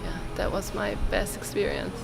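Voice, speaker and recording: A woman's voice: medium pitch, steady tone, moderate pace, creaky. A woman describing her experience in a dreamy, awed way, full of "like" fillers. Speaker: ceremony participant. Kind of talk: personal testimony. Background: loud music.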